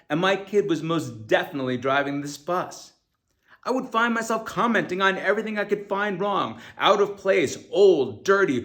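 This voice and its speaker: A man with a fairly high voice and a noticeable creak narrates a personal memoir. He reads his own written words earnestly, phrasing them deliberately and leaning on key words. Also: a bit bright. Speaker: author reading own audiobook.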